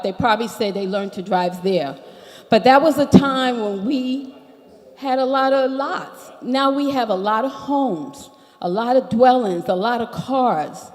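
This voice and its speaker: A woman from Barbados with an American accent makes her case at a public meeting. She is earnest and methodical, going through her complaints one at a time. Her mid-pitched voice rings out in a large, echoing hall. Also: darkish tone. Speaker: resident speaking at a public meeting. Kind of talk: public comment at a town hall. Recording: echoey hall.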